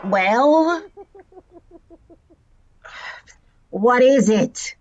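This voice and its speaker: A woman's voice: a little high, lively intonation, drawn-out words, darkish tone, a little hiss or hum. A woman playing a wry, teasing elderly retired superheroine in a fan-made drama, with a languid, sardonic delivery. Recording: dull lo-fi sound with music underneath. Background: music.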